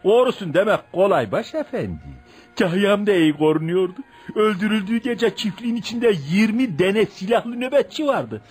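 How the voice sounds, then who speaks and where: Blustering landlord, high, swooping voice. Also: male voice, very animated, moderate pace, darkish tone, slightly rough, heavily acted. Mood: anxious indignant. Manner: theatrical, blustering, hesitant when cornered. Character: blustering, tyrannical rural landlord, scared and evasive. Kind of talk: Turkish radio play acting. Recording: faint music behind radio drama.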